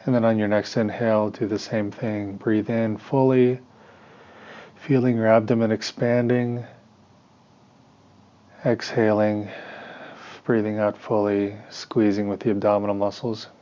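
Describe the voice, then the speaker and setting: A man leading a guided meditation as a teacher, giving measured instructions in short phrases with pauses between them. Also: on the low side, level tone, warm tone, lo-fi sound.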